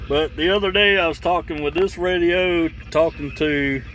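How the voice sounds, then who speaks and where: A man's voice: a little high, normal speed, a bit bright, low-quality recording. A man giving a casual, rambling, folksy hands-on demo of gear, recorded on a camera mic in or beside a vehicle outdoors. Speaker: vlogger demonstrating radio gear. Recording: clear background noise, car and outdoor sounds.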